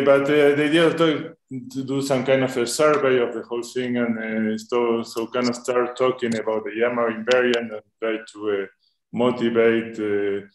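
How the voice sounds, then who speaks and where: A man with an Argentinian accent lectures over a video call, pausing often with fillers. His voice sits at an ordinary pitch. The call audio sounds roomy. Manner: hesitant, many fillers. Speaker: invited math speaker.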